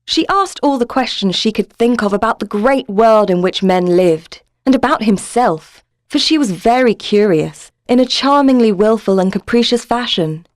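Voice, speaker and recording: A woman's voice: on the high side, lively intonation, normal speed, few pauses, no echo, studio quality. A woman narrator reading a scripted story in a literary storybook style.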